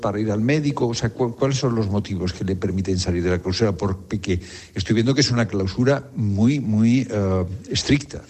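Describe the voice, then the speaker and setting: A man's voice: on the low side, normal speed, darkish tone, breathy edge, in a large hall. A man interviewing nuns, asking probing questions with many hesitant fillers. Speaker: reporter.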